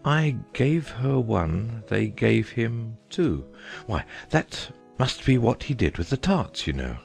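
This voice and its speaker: A fussy old king mutters slowly, as a male narrator puts him on, his gravelly voice rising and falling a great deal. He sounds pleased with himself. Soft music plays underneath. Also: medium pitch, big pitch swings, exaggerated voice. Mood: muttering. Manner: muttering, self-satisfied.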